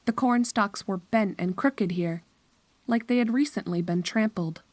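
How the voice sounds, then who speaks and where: A woman's voice: medium pitch, a little slow, no room sound. A woman narrator reading a scripted horror story in a storytelling style.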